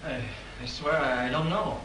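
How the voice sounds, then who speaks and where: An actor playing a bewildered, dazed projectionist, speaking haltingly in 1930s film style. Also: male voice, fairly high pitch, noisy background, close to an everyday voice. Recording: distant echoey 1930s film soundtrack.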